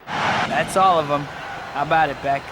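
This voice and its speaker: Man as a high-voiced wisecracking young superhero. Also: steady tone, moderate pace, a loud crowd, lightly acted. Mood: cocky. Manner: flippant, dry sarcasm. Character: wisecracking young male superhero, cocky and unfazed. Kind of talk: video game cutscene acting. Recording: noisy outdoor city ambience.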